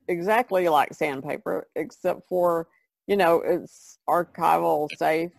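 A woman artist on a podcast panel, casually explaining things in a halting, thoughtful way. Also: fairly low pitch, creaky, dry recording.

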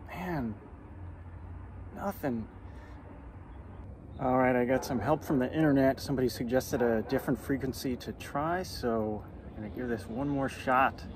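A middle-aged American man making amateur radio calls and talking casually to camera outdoors, spelling out call signs deliberately. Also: mid-range voice. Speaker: amateur radio beginner, vlogger. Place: outdoors in a yard and porch. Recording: outdoor background noise.

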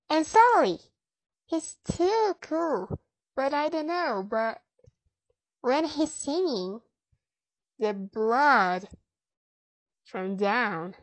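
A woman voicing a lonely, rejected animatronic fox in halting short phrases, like fan-made cartoon voicelines. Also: a little high, dry recording, poor audio. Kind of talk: scripted fan character voicelines.

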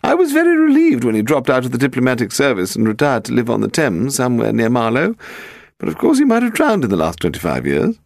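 A man voicing a shrill, gossipy older society lady in an audiobook. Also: wildly swooping pitch, fast, creaky, darkish tone, no echo, clean studio sound. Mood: disdainful gossip.

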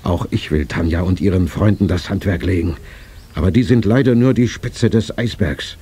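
An actor playing a determined, visionary older gentleman in a radio play, speaking in a measured, resolute way. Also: low voice, husky. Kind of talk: dubbed-style radio play acting. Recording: faint noise on cassette transfer.

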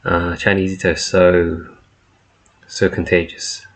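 A man's voice: sits low, level tone, measured pace, creaky. A man giving casual live reaction commentary as a host, recorded on a laptop's built-in microphone. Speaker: host reacting to a game trailer. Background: faint trailer music.

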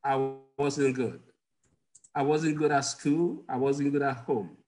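A man's voice: mid-range voice, fairly even intonation, takes it slowly. Man telling stories on a video call. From Ghana. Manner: warm storyteller, chuckles often, asks the audience questions. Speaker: guest doctor. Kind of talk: personal storytelling in an interview. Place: home office, indoors.

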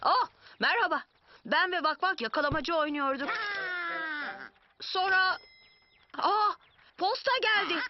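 A woman voicing an eager, excitable young boy in a dubbed children's cartoon. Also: very high voice, a little slow, long pauses, noisy background. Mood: excited. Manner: eager, exclamatory. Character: excited young boy character with a new boomerang toy. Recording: dull lo-fi audio with loud music.

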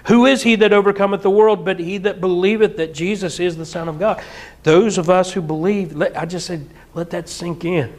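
Animated middle-aged preacher, higher-pitched man. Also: moderate pace. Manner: animated, gesturing, mock-singing and bursts of laughter. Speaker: pastor. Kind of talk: sermon. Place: church hall with projector screen.

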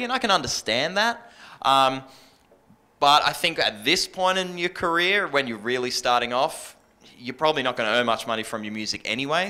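A man's voice: mid-range voice, pushed and bright. An Australian man in his thirties talking informally as a radio presenter on a panel, into a handheld microphone. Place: stage in an indoor venue with a small audience.

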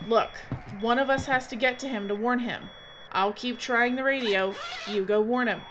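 A woman's voice: fairly high pitch, moderate pace, lightly acted. A woman playing a tense, bossy filmmaker in a horror adventure drama, talking in clipped, urgent commands. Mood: exasperated. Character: tense, bossy female filmmaker trapped in a frozen station. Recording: dull audio with loud background noise.

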